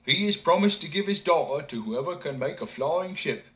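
A man's voice: on the high side, lively intonation, moderate pace, pitched above the performer's own voice. A man doing an eager young simpleton. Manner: loud, calling out, eager.

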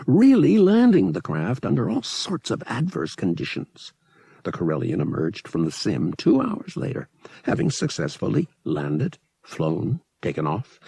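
A man narrating a science fiction audiobook as a scripted, expressive dramatic story. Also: fairly low pitch, gravelly, husky, no echo.

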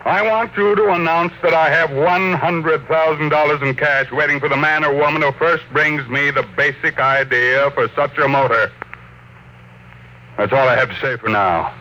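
An actor with an American accent playing a domineering, curt industrial tycoon in an old-time radio drama, emphatic and commanding. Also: male voice, fairly high pitch, normal speed, bright, forceful tone. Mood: proud, announcing. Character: domineering, obsessed male industrial tycoon, brusque and imperious. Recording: noisy old radio recording.

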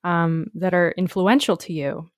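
A woman interviewing an author, asking measured, carefully phrased questions. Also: on the low side, steady tone, no room sound, clean studio sound.

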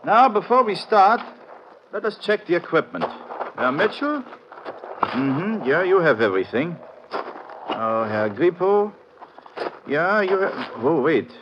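An actor playing a practical, cautious Alpine guide in a 1950s radio adventure. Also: male voice, on the high side, sing-song, slow, long pauses, dull audio. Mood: brisk, businesslike. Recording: noisy old radio recording.

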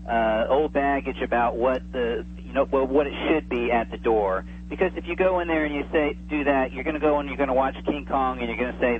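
Phone-line sound, faint hum, male interview guest, casual, hesitant and stammering. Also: mid-range voice.

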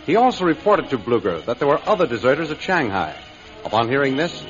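A man announcing and narrating a 1930s radio serial in a dramatic, declamatory style. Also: a little high. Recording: muffled, lo-fi old radio recording.

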